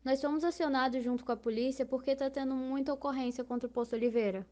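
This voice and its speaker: A woman's voice: sits high, steady tone, fast, warm tone, close to an everyday voice. A woman with a Brazilian accent voicing a patient female inspector who explains step by step in a scripted educational cartoon. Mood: explanatory.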